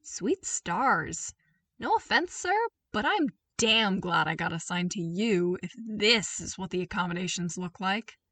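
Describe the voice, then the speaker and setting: A woman's voice: medium pitch, animated, a little slow, fairly bright tone, dry recording, far from the mic. A woman voicing a laid-back, chatty male trooper with a blunt, casual manner in a fan fiction audiobook. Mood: breezy, frank.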